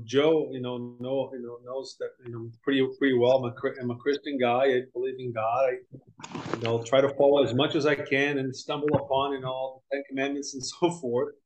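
A man from Brazil, a podcast guest, talking in a halting, filler-heavy way with many restarts. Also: medium pitch, poor audio. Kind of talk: casual podcast conversation.

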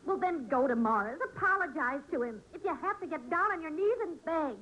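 A woman's voice: high voice, expressive, brisk, a bit bright. An actress playing an exasperated, practical American housewife in an old-time radio sitcom, pleading with her husband. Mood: fretful, urging. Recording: noisy vintage radio recording.

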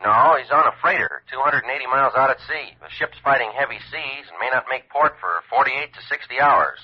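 Fast, husky Coast Guard officer. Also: male voice, mid-range voice, expressive. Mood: urgent. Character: brisk, urgent male Coast Guard radio officer. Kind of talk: 1940s radio acting. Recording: muffled vintage radio, telephone-like sound.

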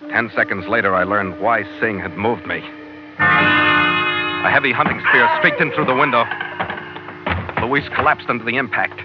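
An actor playing a tough, weary adventurer skipper in a hard-boiled old-time radio drama, with clipped delivery. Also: male voice, medium pitch, big pitch swings, moderate pace, pauses often, loud music behind the voice, natural voice. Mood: hard-boiled narration. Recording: dull, lo-fi old radio recording.

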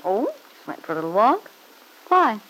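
A woman's voice: a little high, big pitch swings, normal speed, a bit bright, low-quality recording. An actress playing a smug, needling married woman in an old-time radio soap opera, with an arch, mock-sweet, taunting manner.

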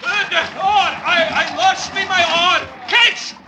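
An actor playing a straining sailor and helmsman in an old-time radio drama, calling out loudly in rough, broken grammar. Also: extremely high pitch, a crowd in the background. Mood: frantic. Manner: loud, drawn-out nautical calls in broken grammar. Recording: noisy old radio recording.

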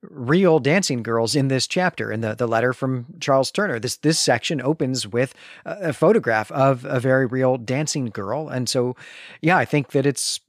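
A man with a medium-pitched voice hosts a literary podcast. He explains things in a measured, orderly way. The sound is dry, with very little room in it. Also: no room sound. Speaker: podcast host. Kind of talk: literary discussion.

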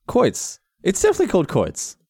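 A man's voice: high-pitched, wildly swooping pitch, a little fast, darkish tone, slightly rough, dry recording, clean studio sound. A man with an Australian accent, co-hosting a podcast in jokey, teasing banter. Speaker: podcast co-host. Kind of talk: casual banter.

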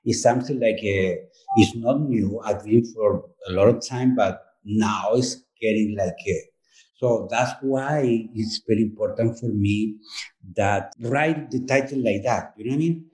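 A man from Chile with a Chilean Spanish accent, a native Spanish speaker, speaking as an author in a podcast interview, halting and searching for words. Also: fairly low pitch, a little mellow, rough recording. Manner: halting, searching for words, frequent "you know what I mean". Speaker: author and performer, guest.

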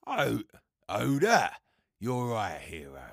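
A gravelly, menacing audio drama villain, drawls very slowly and tauntingly. His low voice swings widely as he threatens. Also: wildly swooping pitch, no room sound, clean studio sound, heavily acted. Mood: taunting menace. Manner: slow, taunting, quietly threatening.

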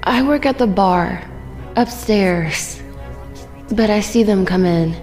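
An actress playing a guarded, streetwise club bartender in an audio drama, with a wary, dry delivery. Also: female voice, medium pitch, takes it slowly, a little mellow, long reverb tail, soft background music. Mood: guarded, knowing. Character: guarded, streetwise young female club bartender, worried and defiant.

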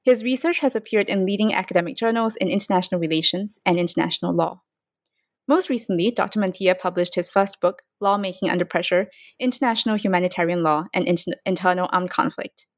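A woman's voice: fairly low pitch, dry recording, studio quality. A woman hosting an academic book podcast, reading a formal guest introduction and asking questions. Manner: reads a formal introduction quickly. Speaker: podcast host.

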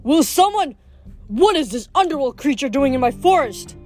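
A woman's voice: sits high, very animated, moderate pace, a little mellow, soft background music, voice pushed up. Meet a woman doing a very old, very fat satyr with a raised, slightly distant voice. He is pompous and huffy, blusters at everyone, and stammers whenever he gets frightened. Think of a fussy old creature in a storybook read aloud. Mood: indignant.

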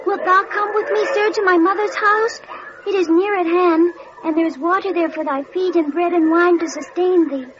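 A woman's voice: very high voice, fairly even intonation, moderate pace, crowd noise. A woman playing a polite, earnest small boy with formal courtesy in an old-time radio drama. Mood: eager, courteous. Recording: muffled, noisy vintage radio recording.

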